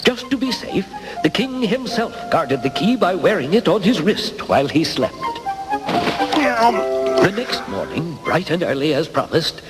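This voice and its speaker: A man's voice: sits high, sing-song, a little slow, low-quality recording. A man narrating a scripted fairy tale as a dramatic storyteller. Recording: loud music behind.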